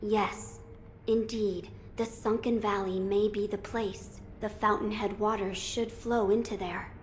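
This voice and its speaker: A woman's voice: medium pitch, steady tone, lightly acted. Grave, courteous young lord over background noise, voiced by a woman. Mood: explanatory, calm. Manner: formal, measured, earnest. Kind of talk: video game cutscene dialogue.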